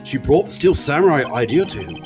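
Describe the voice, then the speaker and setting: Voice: mid-range voice, sing-song, fairly quick, lightly acted. A streamer playing a timid, sad male murder suspect in an amateur live game dub, speaking hesitantly. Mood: explanatory. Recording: music playing behind the voice.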